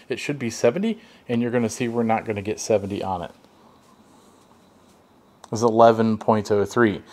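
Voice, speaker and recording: A man's voice: mid-range voice, a little mellow. A man from the United States with an American accent gives a conversational, explanatory hands-on tool review in a workshop. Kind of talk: hands-on product review and demonstration. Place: home workshop at a workbench.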